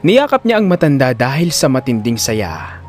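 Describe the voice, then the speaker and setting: A man's voice: medium pitch, very animated, moderate pace, soft background music. A man delivering dramatic scripted story narration as the narrator of an audio drama.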